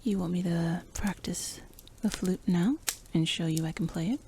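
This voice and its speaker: A woman's voice: fairly low pitch, moderate pace, noisy background, lightly acted. A woman playing a playful, cool-headed rogue in a fantasy roleplay ASMR, speaking in a teasing, intimate, half-whispered way. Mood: teasing, soft.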